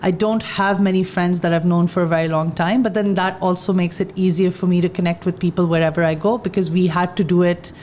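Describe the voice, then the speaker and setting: A woman's voice: fairly low pitch, steady tone, a little fast, few pauses, slight background noise. A woman from Pakistan with a Pakistani English accent, a podcast guest talking candidly and joking in casual chat. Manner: candid, joking, laughs brightly.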